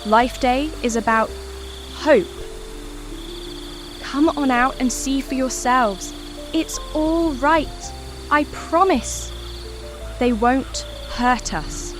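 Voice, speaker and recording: A woman playing an earnest, excitable and brave young girl in a dramatized audiobook. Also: high voice, very slow, pauses often, music in the background. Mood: excited, urgent.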